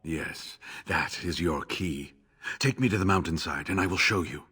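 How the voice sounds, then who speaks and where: An actor playing an older, injured male scholar in a video game, weary and sarcastic, talking in a measured way. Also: low voice, pushed and bright, husky, full character voice. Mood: earnest. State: injured, exhausted. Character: older male scholar, wounded captive, wry. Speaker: game character.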